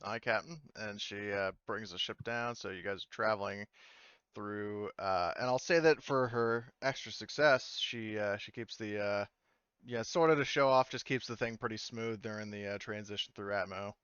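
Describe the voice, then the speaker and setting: A man working as game master, narrating casually in a halting, loose, conversational way over home voice-chat audio. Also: lowish voice, fairly bright tone, clear, resonant tone, no room sound, highs cut off. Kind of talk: casual narration of an online tabletop roleplaying game.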